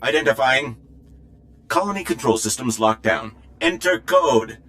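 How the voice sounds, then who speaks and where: A man voicing an impersonal computer system in a video game with mechanical, monotone announcements. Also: on the low side, unhurried, faint music behind.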